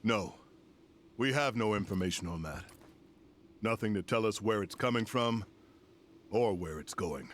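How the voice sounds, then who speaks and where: A man's voice: lowish voice, lively intonation, a little hiss or hum. Grave, commanding male general. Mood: solemn, resolute. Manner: formal, measured, portentous. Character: grave, commanding older general delivering a solemn briefing. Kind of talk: dramatic video game cutscene dialogue.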